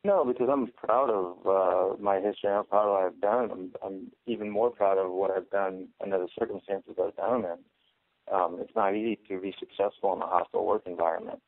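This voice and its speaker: A man's voice: low-pitched, steady tone, fairly quick, no echo. A man, a fighter, answering press questions in a hesitant, earnest way over a tinny phone line. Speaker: fighter on a media conference call.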